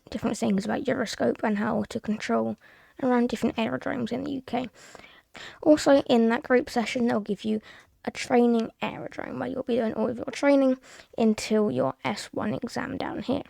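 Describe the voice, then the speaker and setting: A British woman narrates a casual screen tutorial in a fairly high voice full of heavy vocal fry. Her tone is soft-edged and mellow, and she explains things plainly, one step at a time. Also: no echo. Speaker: tutorial presenter.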